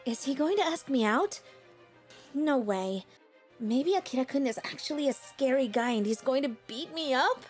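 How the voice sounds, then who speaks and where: Casting: actress for introverted high school girl narrator in an anime-style cartoon, high and very lively, self-deprecating, melodramatic. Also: normal speed, soft background music. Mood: dreading. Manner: dramatic, self-pitying.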